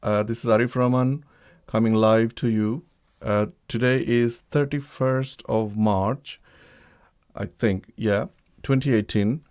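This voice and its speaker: A man from Bangladesh opens a live online show, talking in a halting way full of fillers and self-corrections. His voice sits a little low, and he talks over a computer mic. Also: darkish tone. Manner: hesitant, many ums and restarts. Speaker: livestream host. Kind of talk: live-streamed talk introduction. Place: home setup, living in the UK.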